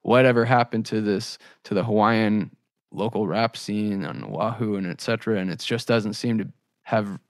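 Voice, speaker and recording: A man's voice: fairly low pitch, moderate pace, no room sound, clean studio sound. A middle-aged man hosting a podcast, asking questions in casual conversation. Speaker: podcast host, interviewer. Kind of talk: casual chat.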